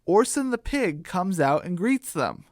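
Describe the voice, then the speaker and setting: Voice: mid-range voice, big pitch swings, unhurried, dry recording, clean studio sound. A storyteller narrating a scripted children's radio drama.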